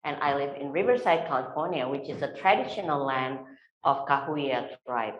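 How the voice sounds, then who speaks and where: Low-voiced woman with an Indonesian accent. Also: lively intonation, lo-fi sound. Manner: unhurried, frequent fillers, warm laughs. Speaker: panelist. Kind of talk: personal storytelling at a symposium. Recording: echoey lecture hall.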